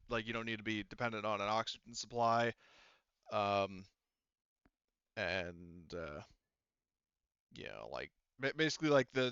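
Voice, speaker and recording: A man with a smooth, clear voice runs a tabletop game as game master. He explains things casually, rambling and halting as he goes. The sound is dry and a little dull, like voice chat. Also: lowish voice, a bit bright, no echo.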